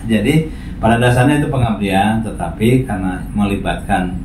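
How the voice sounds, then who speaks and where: A man's voice: on the low side, moderate pace. A man in his forties hosting an interview, chiming in with short acknowledgements and speaking to the audience. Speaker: host. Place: small office room, indoors. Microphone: camera across the room. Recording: echoey room, far from mic, noisy.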